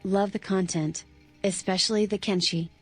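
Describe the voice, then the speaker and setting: Computer lady voice reading chat msgs out flat, medium pitch, bit of music under it. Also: moderate pace, breathy edge.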